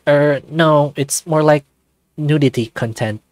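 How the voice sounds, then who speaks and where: A man's voice: fairly high pitch, measured pace, darkish tone, dry recording, lightly acted. Young male student: dry teasing quips, plain voice, read by a man, close dry mic.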